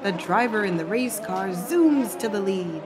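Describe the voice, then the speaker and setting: Female picture-book narrator, unhurried and sing-song, mid-pitched, over car noise. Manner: rhythmic, expressive delivery with drawn-out sound words. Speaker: children's storybook reader.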